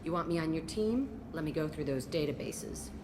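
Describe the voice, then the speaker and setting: Defiant, low-voiced young convict. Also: female voice, animated, a little fast, noisy background. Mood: hostile, suspicious. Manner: blunt, taunting, sarcastic. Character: hostile, defiant young female convict, sneering and distrustful. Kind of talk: video game voice acting.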